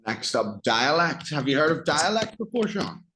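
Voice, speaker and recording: A man's voice: on the high side, very animated, normal speed, bright, forceful tone, a little hiss or hum. A man with a Northern Irish accent teaching English one-on-one, explaining patiently with examples and questions. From Northern Ireland.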